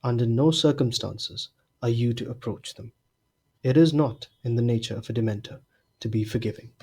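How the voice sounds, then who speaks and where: Guy acting old wizard headmaster, husky, formal and measured speechmaking, kindly but grave, audio drama. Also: mid-range voice, warm tone. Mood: grave, warning. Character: aged headmaster wizard, genial yet grave.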